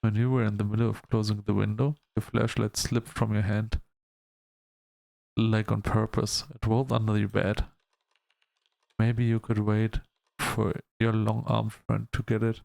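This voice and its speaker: A man hosting a gaming video, reading story text aloud in a halting, measured storytelling delivery. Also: on the low side, little pitch movement, vocal fry, a little mellow, no echo. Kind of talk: let's-play narration, reading game text aloud.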